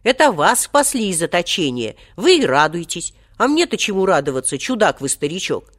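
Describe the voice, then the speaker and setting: Cheeky, high-pitched young schoolboy voiced by a storyteller. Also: sing-song, normal speed, fairly bright tone. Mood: indignant. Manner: earnest, pert, bookish politeness. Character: cheeky indignant young schoolboy, politely argumentative. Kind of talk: acted children's storybook reading.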